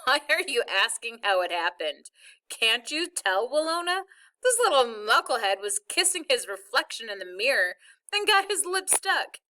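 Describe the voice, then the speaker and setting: A woman's voice: a little high, very animated, normal speed, bright, forceful tone, pitched above the performer's own voice. A woman voicing a teasing, amused father in his thirties in a storybook reading.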